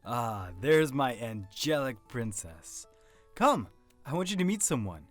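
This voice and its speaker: A man's voice: medium pitch, very animated, measured pace, soft background music. A man playing a courteous, formal, doting father in a horror radio drama. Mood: genial. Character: genteel doting father, courteous businessman host.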